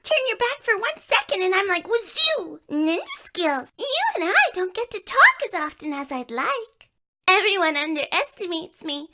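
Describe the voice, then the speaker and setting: A woman's voice: very high-pitched, sharp tone, exaggerated voice. A woman voicing a cocky, perky little animatronic frog in a horror game, boastful and cartoonish.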